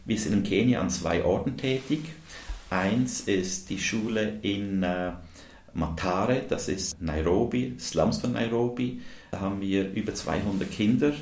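Man, lowish voice, native english speaker, hesitant with fillers, echoey room. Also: measured pace, a little mellow. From India. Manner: hesitant, many filler sounds. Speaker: guest, dental technician, charity volunteer. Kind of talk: interview answers. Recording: roomy echo.

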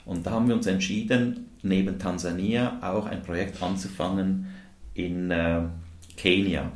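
A man's voice: sits low, fairly even intonation, measured pace. A man who grew up in India and whose first language is English, answering interview questions as a charity volunteer with many hesitations and filler sounds. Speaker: guest, dental technician, charity volunteer. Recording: roomy echo.

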